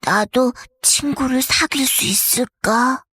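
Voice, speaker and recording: A woman voicing a lonely young ugly duckling in a children's storybook, soft and plaintive. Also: high voice, slow, breathy edge, no room sound, studio quality, exaggerated voice. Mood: wistful.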